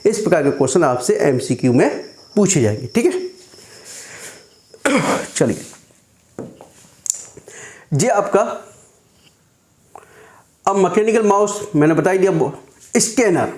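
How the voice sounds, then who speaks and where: A man teaching a computer class who reads out English definitions slowly and then explains them in Hindi. He keeps asking his students questions and answers them himself, and his throat is dry and hoarse. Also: sits high, lively intonation, darkish tone, echoey room. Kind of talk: classroom lecture for exam students.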